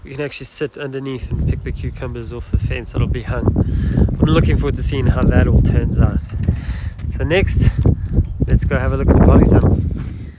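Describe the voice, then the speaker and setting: A man's voice: medium pitch, moderate pace. Nasal man giving a garden tour. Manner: relaxed, rambling, many ums. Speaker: gardener vlogger. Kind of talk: casual garden tour walkthrough. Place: outdoor garden, then a polytunnel. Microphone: camera mic catching wind outdoors. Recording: wind noise on the mic outdoors.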